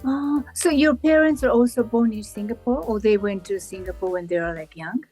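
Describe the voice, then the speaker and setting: Chatty woman host, fairly high voice. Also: moderate pace, mellow, husky, faint music behind. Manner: chatty, frequent yeah fillers, non-native phrasing. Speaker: interviewer host. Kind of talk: casual interview chat.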